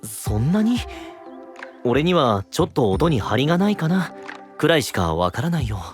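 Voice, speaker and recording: A man's voice: on the high side, sing-song, normal speed. A man playing a mild, easygoing young knight, modest in manner, in an anime-style voice drama. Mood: mild, uncertain. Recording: music under the voice.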